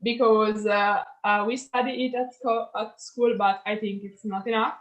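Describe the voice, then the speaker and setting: A woman's voice: medium pitch, steady tone, normal speed, low-quality recording. A teenage girl with an Italian accent, an exchange student, speaking hesitantly with small stumbles in an interview. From Italy.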